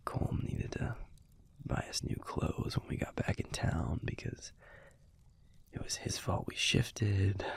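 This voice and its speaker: A man playing an affectionate young alpha werewolf boyfriend in an intimate audio roleplay, talking softly and casually in a breathy, gravelly near-whisper. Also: sits low, full character voice. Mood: fond amusement. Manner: soft, unhurried, casual, salty-tongued.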